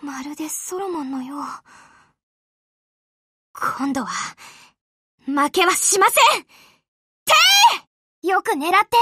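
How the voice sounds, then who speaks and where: An actress voicing a gentle, courteous young warship girl who serves her admiral in an anime game, with a deferential, polite manner. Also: very high-pitched, big pitch swings, takes it slowly, pauses often, no room sound, studio quality, exaggerated voice. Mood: resolute, commanding. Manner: soft-spoken, courteous, deferential. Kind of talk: Japanese anime game voice acting.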